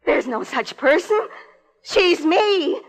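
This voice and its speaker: An actress playing a frightened young wife, pleading breathlessly and desperately in a 1950s radio suspense drama. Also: female voice, high voice, lively intonation, takes it slowly, exaggerated voice. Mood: agitated, insistent. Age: young adult. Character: frightened, pleading young wife trapped in her own nightmare. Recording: echoey reverberant 1950s radio recording.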